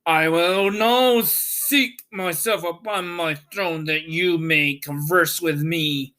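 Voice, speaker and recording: A man's voice: on the high side, slow pace, sharp tone. A man voicing a solemn, grand, bodiless wizard in a storybook reading, drawing out his words. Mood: solemn, commanding.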